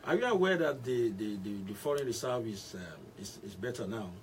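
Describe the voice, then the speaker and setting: Lively Nigerian male radio interviewer, challenging. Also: medium pitch, brisk. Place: radio studio. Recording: faint background noise.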